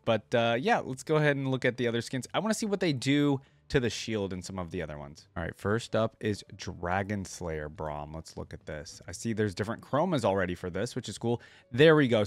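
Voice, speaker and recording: A man's voice: fairly low pitch, dry recording. A man giving casual reaction commentary as a host, thinking aloud in short, informal remarks.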